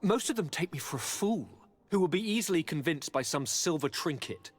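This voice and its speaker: An actor playing a young noble governor in a video game, sickly and restless, with a theatrical, courtly and expressive delivery. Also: male voice, on the high side, a little fast, slightly rough, faint music behind. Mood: animated, bored, scornful. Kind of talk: video game cutscene dialogue.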